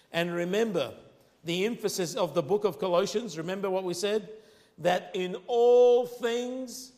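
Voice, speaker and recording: A man with an Arabic accent whose first language is Arabic, preaching a sermon with emphatic, drawn-out key phrases. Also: high-pitched. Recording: long hall reverb.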